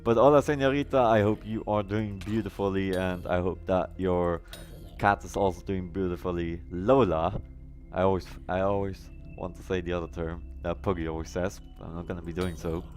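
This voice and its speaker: A man's voice: low voice, steady tone, brisk, darkish tone, soft background music. A man whose first language is German, live-streaming a game with casual commentary and chatting to viewers in wordy, oddly formal phrases.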